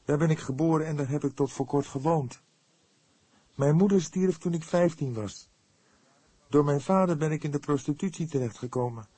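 A man voicing an earnest, devout young woman in an audiobook, speaking patiently and with solemn emphasis, quick to defend herself. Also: on the high side, warm tone, breathy edge, dry recording, pitched above the performer's own voice. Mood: earnest, pained.

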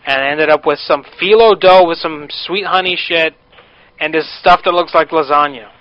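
Brash high-voiced prank caller, lo-fi. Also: male voice, normal speed, dry recording. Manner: brash, demanding, mock-indignant. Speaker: prank caller, radio host. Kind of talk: prank phone call. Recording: dull lo-fi radio recording.

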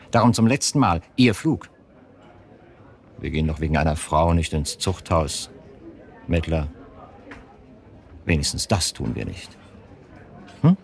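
Low-voiced, coldly coercive police chief. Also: male voice. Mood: firm, controlled. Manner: insistent, domineering, measured and cutting. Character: cold, coercive male police chief, manipulative. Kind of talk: German radio drama acting.

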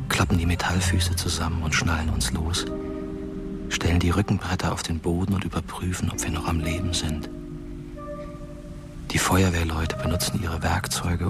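An actor playing a dead teenage boy, narrating a radio drama in a muted, terse, even tone. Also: deep voice. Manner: flat, terse, muted storytelling. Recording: studio voice over loud music.

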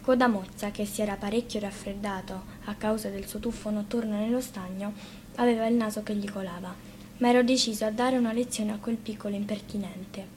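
A woman's voice: medium pitch, steady tone, a little fast, darkish tone. A woman narrating a scripted fairy tale as a storyteller. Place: radio studio. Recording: faint background noise.

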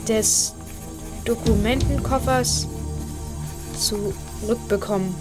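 Slow-spoken youngster over music. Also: female voice, on the high side. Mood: deliberate. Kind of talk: German children's radio play acting. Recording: music playing behind the voice.

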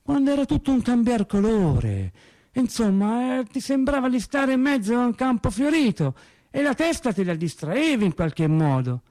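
Embittered Tuscan factory worker for radio drama: high, swooping voice, colloquial, digressive storytelling. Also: male voice, sing-song, normal speed, darkish tone. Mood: wry, rambling. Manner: digressive, self-correcting, repeating phrases for emphasis. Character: embittered, rambling factory worker recounting workplace life. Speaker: actor in a stage monologue for radio theatre. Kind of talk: theatrical monologue, colloquial storytelling.

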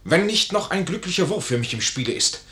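An actor playing a proud, stubborn, honour-bound army major in a formal, declamatory 1950s radio drama style. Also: male voice, fairly high pitch, big pitch swings, brisk, bright, forceful tone. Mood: indignant, resolute. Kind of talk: 1950s German radio play acting. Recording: echoey vintage 1950s radio studio recording.

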